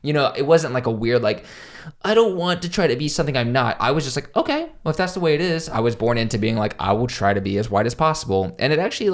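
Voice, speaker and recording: A young man from South Carolina, a podcast guest, telling candid, self-deprecating stories in casual conversation. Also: mid-range voice. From South Carolina, USA. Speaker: podcast guest, pro skateboarder.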